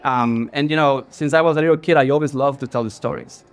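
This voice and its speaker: A man's voice: mid-range voice, fast, clean tone. Ecuadorian-accented man telling his story. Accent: Ecuadorian Spanish. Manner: earnest, with many fillers and restarts. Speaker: guest, creative director. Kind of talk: casual interview on stage. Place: bar, live audience.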